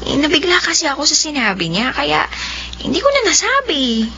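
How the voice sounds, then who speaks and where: An actress playing a gentle young woman who speaks softly and hesitantly. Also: high-pitched, huge pitch swings, moderate pace, full character voice. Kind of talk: radio drama acting. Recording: dull lo-fi sound with faint noise.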